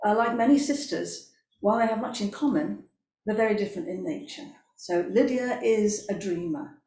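Older british lady playwright reading her intro, bit unpractised, mellow voice, echoey room. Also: medium pitch, normal speed. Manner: hesitant, unpractised. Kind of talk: prepared introductory speech. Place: indoors in a formal parlour. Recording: echoey room, faint noise.

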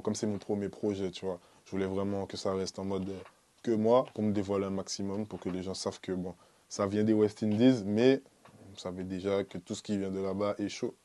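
A young man from the Antilles, talking casually and in slang as a music artist being interviewed. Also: on the low side. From French Antilles (born in Guadeloupe, lived in Martinique and French Guiana). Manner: slangy, informal, peppered with "tu vois" and shout-outs. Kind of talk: casual interview talk to camera. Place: small dark recording studio, indoors.

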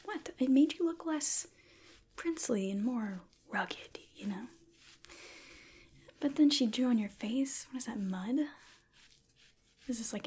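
A young woman playing a tough, sarcastic female rescuer in an ASMR roleplay, breathy, hushed and offhand. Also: sits high, heavily acted. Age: 20s. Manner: sardonic, offhand. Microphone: close microphone.

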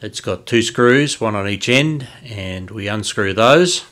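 A man's voice: on the low side, lively intonation, takes it slowly, fairly bright tone. An Australian man giving an informal instructional voice-over as host, explaining things methodically. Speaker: host of a shortwave radio channel.

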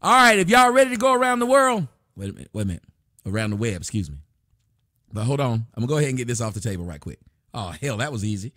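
A man's voice: medium pitch, sing-song, fast talker, pushed and bright, no echo, clean studio sound. A man hosting a casual livestream from a small room at his desk, loosely reading donations and chat aloud with plenty of profanity. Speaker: livestream host.

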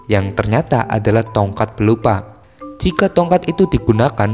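A man's voice: on the low side, a little mellow. A man casually narrates a film plot from a script as a recap host. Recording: long reverb tail with music underneath. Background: background music.

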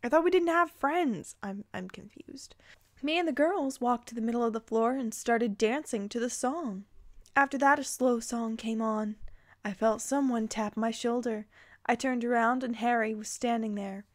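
A woman's voice: fairly high pitch, normal speed, dry recording, clean studio sound. A woman reading fan fiction aloud, dropping casual, incredulous, playful asides as she goes.

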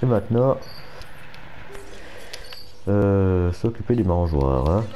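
A man's voice: low voice, lively intonation, slow pace, dull audio. A man with a cold giving casual, muttered commentary over a game and swearing at setbacks. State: has a cold. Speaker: gaming channel host.

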